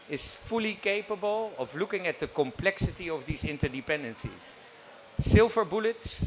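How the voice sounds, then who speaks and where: A man's voice: a little high, fairly bright tone, a little hiss or hum. A man giving emphatic, declamatory closing remarks on a conference panel. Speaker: panel speaker.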